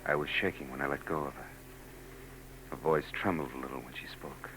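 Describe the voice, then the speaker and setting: A man's voice: a bass voice, steady tone, fairly quick. An actor playing a wry, weary male private eye in a 1940s radio drama, dry and sardonic. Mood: brooding. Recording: old radio broadcast with hum.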